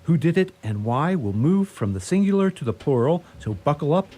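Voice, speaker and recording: A man's voice: on the high side, very animated, brisk, dark tone. A man reading a scripted introduction and recap as announcer of a radio play.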